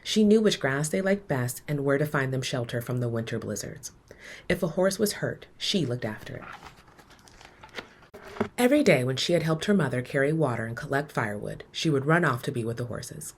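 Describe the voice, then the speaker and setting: A woman narrates a children's tale as a read-aloud. Her voice is low and rather husky, with expressive, measured phrasing that softens at the ends of lines. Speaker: educator reading a children's book aloud.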